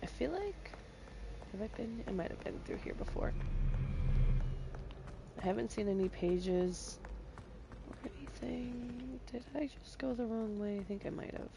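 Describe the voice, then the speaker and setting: Hoarse, raspy woman playing a game. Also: a little high, a little slow, long pauses, background noise. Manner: chatty, muttering to herself while playing. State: hoarse from bonfire smoke. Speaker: gaming video host. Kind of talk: casual let's-play commentary. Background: game music.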